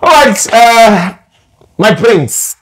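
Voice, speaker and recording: A man hosting a dating game show in a playful, animated way, joking and hyping up the contestants. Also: sits high, very slow.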